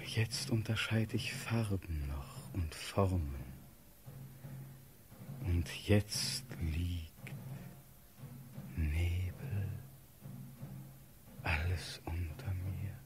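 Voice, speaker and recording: An actor playing a dreamy, solemn young prince in a classical verse radio play, declaiming in breathy, rapt tones. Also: male voice, sits low, very slow, long pauses, over music.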